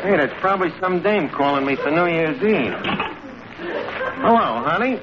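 An actor playing a breezy, mock-learned New York tavern manager with mangled grammar, in 1940s radio comedy style. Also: male voice, a little high, lively intonation, moderate pace, a crowd in the background, natural voice. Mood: brisk, scheming. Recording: noisy, muffled old radio broadcast, audience.

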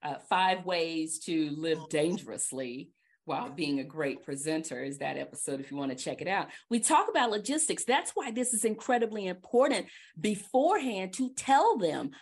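A woman hosting a podcast, giving casual advice in an animated, emphatic way and repeating her key points. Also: fairly low pitch, normal speed. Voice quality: trained projecting voice. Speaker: podcast host.

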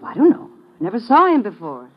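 Breezy, animated girl reporter. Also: fairly high pitch, a little fast, breathy edge. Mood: curious. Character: breezy, wisecracking young female reporter. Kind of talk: 1950s radio drama acting. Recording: dull, noisy old radio recording.

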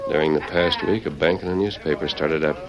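A man playing a stern, resolute masked western hero in an old radio drama, speaking in a measured, authoritative way. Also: rumbling low, steady tone. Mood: grave, resolute. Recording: dull vintage radio recording, crowd murmur.

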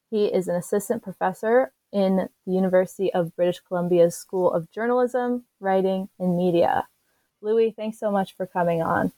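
A woman's voice: mid-range voice, mellow, no room sound, low-quality recording. A woman hosting an interview, reading a careful formal introduction of her guest with occasional fillers. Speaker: interview host.

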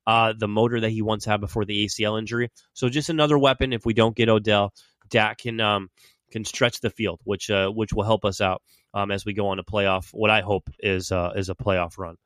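A man's voice: on the low side, steady tone, brisk, fairly bright tone, dry recording. Male podcast host, stuffy cold, loose fan chatter, dry sound. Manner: chatty, fan-style predictions. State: has a cold. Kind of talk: casual sports talk.

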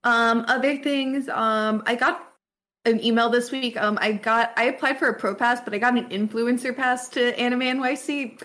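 A woman in her twenties with a fairly high voice talks like a podcast co-host catching up with a friend. Her speech is informal and slangy, with plenty of swearing. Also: fairly even intonation, moderate pace, a bit bright. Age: 20s. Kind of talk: casual chat with a friend.

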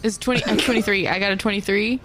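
A woman's voice: mid-range voice, fairly even intonation, quick pace, crowd noise. A woman playing a tabletop game, talking casually about her turn with friends.

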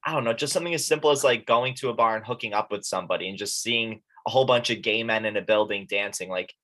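A man from upstate New York hosts a casual podcast chat. He talks loosely and conversationally, dropping "like" every few words, and his voice has a bright, forward edge. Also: lowish voice, quick pace. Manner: chatty, with frequent "like" fillers. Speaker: podcast host.

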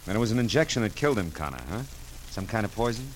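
A man's voice: fairly low pitch, animated, very fast, slight background noise, natural voice, low-quality recording. An American man playing a wry private detective in old radio drama style, with a dry, clipped delivery. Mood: businesslike. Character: wry, brisk hard-boiled male private detective. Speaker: lead actor and first-person narrator. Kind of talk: 1940s-50s radio detective acting. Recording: vintage radio recording.

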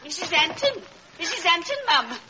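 A flustered, deferential housemaid in classic radio drama. Her voice is extremely high, over a dull and clearly noisy old recording. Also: very animated, moderate pace.

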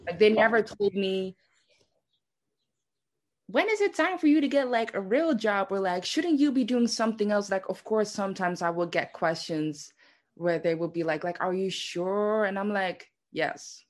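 A woman's voice: medium pitch, lively intonation, normal speed. A woman in her twenties from the Netherlands, a dancer chatting casually as the guest of an interview. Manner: chatty, says "like" a lot.

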